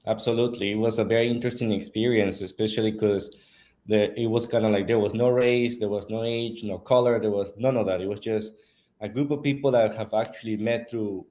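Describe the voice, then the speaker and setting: A man's voice: fairly low pitch, fairly even intonation, fairly quick, warm tone. Earnest man from Peru telling his story. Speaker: guest. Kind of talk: casual interview talk.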